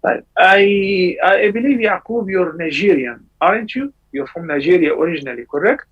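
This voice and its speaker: A man hosting a live call-in show, answering callers' religious questions in measured explanations. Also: husky, muffled recording. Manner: measured explanations with sudden lively rises in pitch. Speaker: scholar answering viewers' calls.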